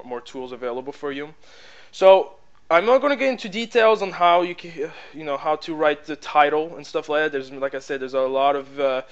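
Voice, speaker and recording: A man with a French accent talks through a screen-recording tutorial in a loose, rambling way, full of "uh" and "blah, blah, blah". His voice sits fairly high and sounds smooth and clear. It comes through a headset mic with slightly dull, lo-fi sound. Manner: rambling, filler-heavy, repeats "blah, blah, blah". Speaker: tutorial presenter. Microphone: plug-in headset mic.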